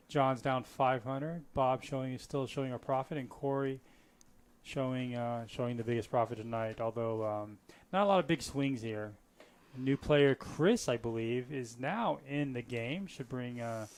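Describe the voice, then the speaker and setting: A man from Florida doing live poker commentary, relaxed and chatty, with frequent fillers and restarts. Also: mid-range voice, a little slow.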